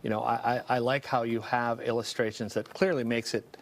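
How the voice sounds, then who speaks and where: A man's voice: medium pitch, steady tone, a little fast. A man who grew up speaking Spanish, presenting and interviewing as a television news host. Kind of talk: studio news introduction and interview.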